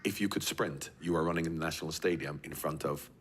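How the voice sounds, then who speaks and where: Older guy from jamaica, low low voice, talks quick and restarts his words a lot. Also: slight background noise. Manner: explains at length, repeats words while thinking. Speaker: guest, former footballer. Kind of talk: conversational interview.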